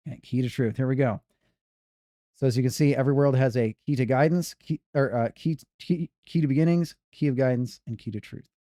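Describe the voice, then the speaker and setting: Male streamer, casual speedrun commentary, warm low tone, dry. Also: brisk, dry recording. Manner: rambling, self-correcting banter.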